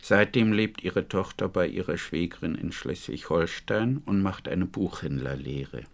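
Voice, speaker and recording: A man interviewing for a radio documentary in a formal, deliberate, measured way. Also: sits low, vocal fry.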